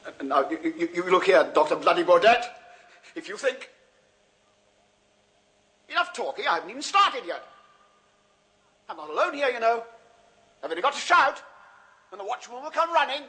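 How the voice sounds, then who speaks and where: A man's voice: high-pitched, very animated, normal speed, pauses often, pushed and bright, full character voice. An actor playing a frightened male reporter who stammers and pleads in a 1970s radio drama. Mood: blustering. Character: frightened male reporter, blustering then begging.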